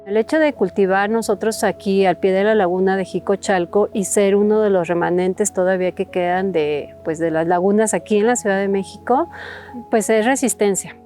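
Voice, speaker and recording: A woman's voice: mid-range voice, steady tone, moderate pace, warm tone, soft background music. A woman farmer with a Mexico City accent, talking in a documentary interview.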